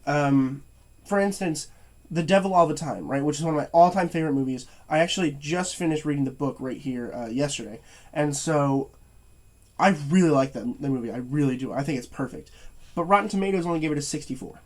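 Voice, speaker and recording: Young male movie reviewer, slightly high voice, stuffy and tired, stutters, halting delivery. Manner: stuttering, halting, many fillers and restarts. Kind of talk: casual to-camera rant.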